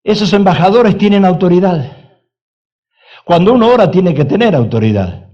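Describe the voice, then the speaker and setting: A male pastor with a notably high voice delivers an insistent sermon. He hammers his points with repetition and asks questions of his listeners. The recording sounds dull and lo-fi. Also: expressive, normal speed. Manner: emphatic preaching with repetition and rhetorical questions.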